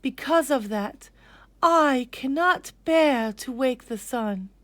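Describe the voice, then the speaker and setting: A sorrowful mother owl from a storybook, acted by a woman. The voice is raised in pitch, slow and mournful. It stays resolute in its grief. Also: lively intonation, fairly bright tone, dry recording, heavily acted.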